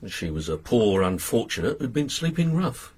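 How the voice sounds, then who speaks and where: Brief: an official police inspector for a radio play, breathy and husky, stern. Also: male voice, medium pitch, very animated, a little mellow. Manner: measured, official. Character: formal, stern male police chief inspector. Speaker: chief inspector.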